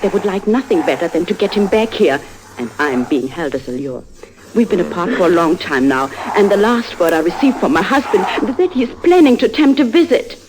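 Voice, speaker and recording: Woman playing an anxious, pleading wife, lively pitch. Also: a little fast, natural voice, low-quality recording. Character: anxious, pleading wife desperate to flee the country. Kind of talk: old-time radio drama acting.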